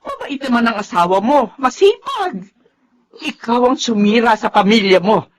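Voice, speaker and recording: A woman's voice: a little high, very animated, moderate pace. A woman playing a distressed older mother in a melodrama, scolding and lamenting with a Filipino accent. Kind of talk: melodramatic radio-style drama acting.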